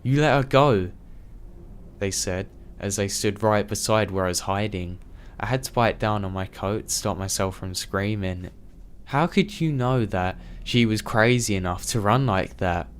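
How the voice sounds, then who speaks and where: A man reading true scary stories aloud in a steady, even first-person narration. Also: on the low side, normal speed, slight background noise. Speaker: storyteller.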